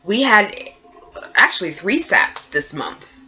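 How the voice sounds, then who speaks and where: A nursing student chatting through a casual vlog update, sounding nasal and congested from a cold and rambling along with lots of fillers. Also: female voice, medium pitch, big pitch swings, slow pace, bright, forceful tone, highs cut off.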